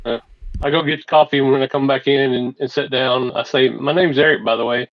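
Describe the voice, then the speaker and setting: A man's voice: medium pitch, fairly even intonation, brisk, dry recording. Man roleplaying, slightly dull dry audio. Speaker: tabletop game player. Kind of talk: casual roleplay, describing his character's actions.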